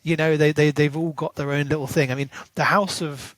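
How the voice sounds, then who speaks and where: A man's voice: fairly high pitch, fairly even intonation, brisk, no room sound. A man co-hosting a book podcast, chatting casually and enthusiastically, with plenty of ums and restarts. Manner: chatty, filler-heavy, enthusiastic. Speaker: podcast co-host.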